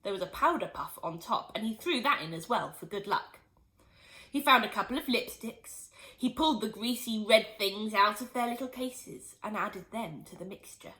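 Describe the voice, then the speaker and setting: A woman teacher reading a children's book aloud in an expressive, animated way. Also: medium pitch, moderate pace, low-quality recording. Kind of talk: storybook read-aloud.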